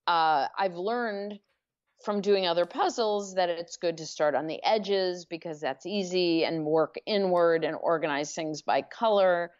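Woman, author guest, lowish voice, very slow, reflective, slightly muffled recording. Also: darkish tone. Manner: measured, reflective, uses images to explain. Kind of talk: thoughtful interview answers.

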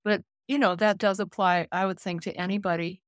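A woman in her late sixties, a care manager and counselor, speaking gently and thoughtfully in an interview, often pausing to find her words. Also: mid-range voice, fairly even intonation, dry recording, clean studio sound. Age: 60s. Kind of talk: reflective interview conversation.

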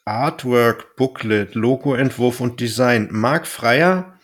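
A man's voice: medium pitch, slow pace. A man hosting a podcast, chatting casually and wryly as he reviews audio dramas. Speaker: podcast host.

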